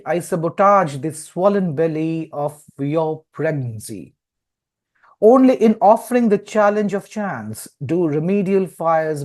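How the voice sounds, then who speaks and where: A man hosting a literary interview, speaking deliberately and repeating words for emphasis. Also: fairly high pitch, darkish tone. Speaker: interviewer, poet and publisher.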